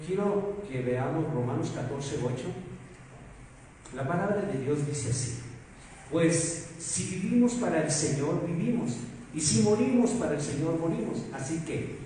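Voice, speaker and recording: A man with a Mexican Spanish accent preaching a sermon as pastor, asking rhetorical questions and swinging between loud emphasis and soft asides. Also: fairly high pitch, lively intonation, unhurried, a little hiss or hum. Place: church hall. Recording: echoey hall, mic far off.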